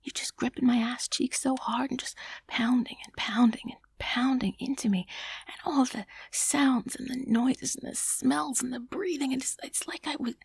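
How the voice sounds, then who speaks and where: A young woman whispering close to the microphone, her voice almost all air with a gravelly, creaky edge. The sound is dry and near, with no room around it, and she keeps an intimate, hushed storytelling manner throughout. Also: sits high, steady tone, moderate pace, no echo.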